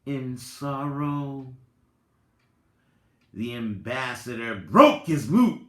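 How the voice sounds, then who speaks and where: A man giving a dramatic reading, theatrical and deliberate, with drawn-out phrases and long pauses. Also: mid-range voice, lively intonation, sharp tone. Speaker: reader and commentator, presenter of the recording. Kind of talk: dramatic reading with informal asides. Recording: roomy, echoing sound.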